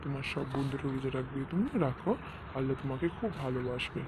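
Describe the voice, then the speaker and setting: A man voicing a gentle, devout father in cartoon dialogue, with some noise in the recording. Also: medium pitch, steady tone, fast, lightly acted. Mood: reassuring. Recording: faint background noise.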